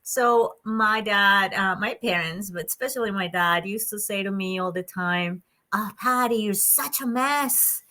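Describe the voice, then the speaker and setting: A woman from Colombia with a Colombian Spanish accent and a bright, sharp voice. She speaks as a guest in an interview. She talks in an animated way, often breaking into laughter. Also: mid-range voice, unhurried. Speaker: guest, psychologist and coach. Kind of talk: conversational interview.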